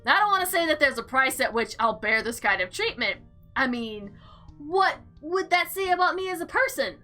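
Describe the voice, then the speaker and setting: A woman hosting a visual novel playthrough, reading aloud and reacting with animated, slangy exclamations over background music. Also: high voice, moderate pace. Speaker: gaming video host.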